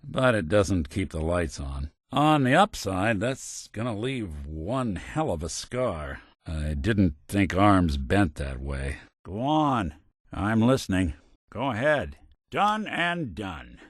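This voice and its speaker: An actor playing a world-weary, wisecracking android detective in a video game, hardboiled but kind, with dry old-fashioned gumshoe delivery. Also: male voice, lowish voice, very animated, takes it slowly, no room sound, exaggerated voice. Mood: wry, kindly. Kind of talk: game character voice lines.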